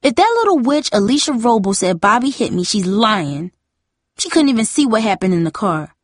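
A woman's voice: medium pitch, sing-song, brisk, slightly rough, no room sound, clean studio sound. A woman voicing a defiant, defensive teenage girl with a heated, slangy way of talking, in a story reading. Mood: defensive, warning. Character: defiant, defensive younger sister, a school cheerleader.

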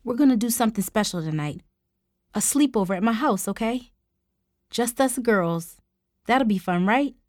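A woman's voice: on the high side, expressive, moderate pace, long pauses, dry recording, clean studio sound, lightly acted. A woman voicing a fiercely protective young woman who confronts people intensely, in audiobook style storytelling. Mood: soft, soothing. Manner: growling, hissing, shouting confrontationally.

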